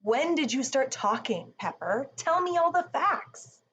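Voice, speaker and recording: A woman's voice: high voice, sing-song, unhurried, fairly bright tone, voice pushed up, exaggerated voice. Woman voicing a wondering young storybook girl, slightly muffled. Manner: storybook character voice. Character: young girl, startled, apologetic, wondering about dreams. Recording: muffled with faint background noise.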